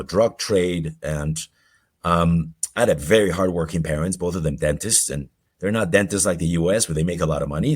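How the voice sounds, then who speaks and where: Male podcast guest, forties, deep voice, halting personal storyteller. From Colombia. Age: 40s.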